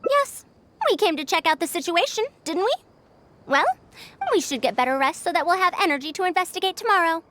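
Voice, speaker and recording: A shrewd, high-voiced scholar. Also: female voice, lively intonation, fairly quick, fairly bright tone. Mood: imperious. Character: shrewd, self-assured female scholar engineer, haughty and analytical. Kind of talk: scripted video game voice acting.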